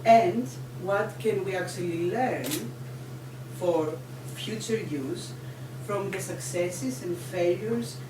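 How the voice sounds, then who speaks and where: Slow, measured woman in an echoey room. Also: lowish voice. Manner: slow and measured, with frequent fillers. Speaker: project coordinator opening a conference. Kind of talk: prepared opening remarks. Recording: echoey room from far off, background noise.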